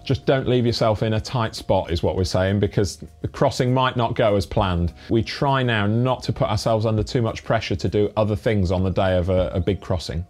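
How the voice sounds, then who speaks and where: Young British man giving brisk tips. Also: on the low side, darkish tone, soft background music. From Britain. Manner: brisk, earnest explaining with dry asides. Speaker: family cycle touring vlogger. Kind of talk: talking-to-camera tips. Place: indoor room with brick wall and bikes. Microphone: lapel mic.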